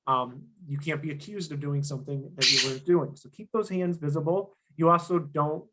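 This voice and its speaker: Young guy teaching a workshop, bit hoarse, earnest, lots of ums, voice sits a little high. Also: steady tone, moderate pace, heavy background noise. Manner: earnest, emphatic, with frequent ums and restarts. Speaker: activist workshop presenter. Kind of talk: instructional presentation.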